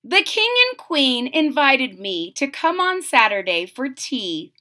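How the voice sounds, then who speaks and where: A middle-aged woman reads a picture book aloud for children with casual asides. Her voice is high and very lively in pitch, and she takes her time, with exaggerated expression. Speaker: storyteller reading a picture book. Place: small room at home, indoors.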